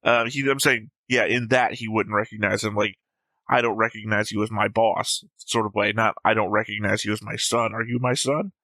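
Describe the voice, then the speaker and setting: Male co-host, bright and sharp tone, analytical and playful, dry close mic. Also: on the low side, steady tone, moderate pace, no room sound, rough recording. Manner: analytical, jokey, acts out quotes. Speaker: reaction show co-host. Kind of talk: casual discussion reading notes.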